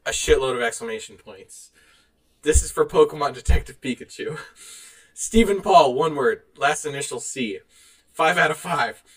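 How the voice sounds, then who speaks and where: A man with a fairly high voice co-hosts a podcast in a loose, conversational style. He is animated and laughs readily as he talks. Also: moderate pace. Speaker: podcast co-host. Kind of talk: casual chat, reading out online reviews.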